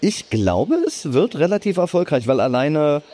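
A man's voice: fairly high pitch, very animated, normal speed, dark tone. A man joining a casual gaming livestream chat, mostly giving short, agreeable replies.

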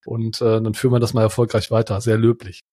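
A man's voice: lowish voice, little pitch movement, fast talker, warm tone. A German man co-hosting a podcast in casual chat, rambling with fillers and restarts. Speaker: podcast co-host.

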